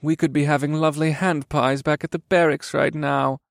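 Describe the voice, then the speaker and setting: A man's voice: on the high side, level tone, moderate pace, vocal fry, dry recording, studio quality, voice pushed up. A man voicing crude, cocky young male elf soldiers who grumble and sneer, in a fantasy audiobook. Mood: grumbling.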